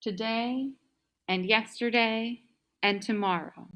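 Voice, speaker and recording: A woman reading stage directions for a play in a measured, announcing manner. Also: fairly high pitch, fairly even intonation, bright, forceful tone. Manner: measured, announcing scene headings. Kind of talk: scripted narration for a staged reading.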